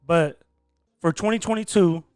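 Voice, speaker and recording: A man's voice: sits high, moderate pace, darkish tone, no echo, studio quality, soft background music. A man, hosting a casual podcast interview in a slangy, hyped way with plenty of swearing. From Inland Empire, California, United States. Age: 20s. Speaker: podcast host.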